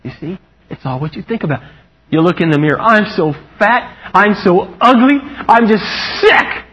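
A man preaching a lively, humorous sermon, animated and playful, acting out quotes in a higher voice. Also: moderate pace, husky. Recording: muffled lo-fi recording.

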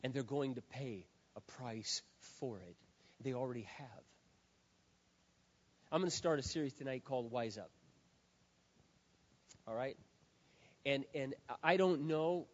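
A man preaching a sermon as pastor, hoarse, swinging from quiet earnest talk to loud impassioned shouting. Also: mid-range voice, normal speed, long pauses. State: hoarse, says he has lost his voice. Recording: dull sound with long hall reverb.

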